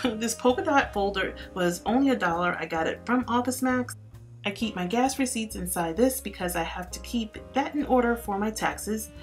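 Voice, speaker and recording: A woman's voice: on the high side, expressive, normal speed, soft background music. A woman vlogger chatting upbeat to her viewers in a voice-over tour. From Florida. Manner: chatty and upbeat, addressing viewers as you guys. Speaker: lifestyle vlogger.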